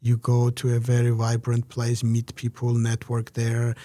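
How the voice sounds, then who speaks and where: A man giving measured, reflective interview answers, pausing often with "uh" between phrases. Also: mid-range voice, monotone, warm tone, no echo, clean studio sound. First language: Georgian. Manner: measured, many "uh" pauses. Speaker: interview guest, researcher.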